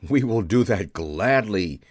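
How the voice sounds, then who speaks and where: A man's voice: fairly low pitch, expressive, slow pace, dry recording, clean studio sound, voice pushed down. A man voicing a respectful, fearful tiger who speaks for the forest beasts in a children's storybook, grave and deferential.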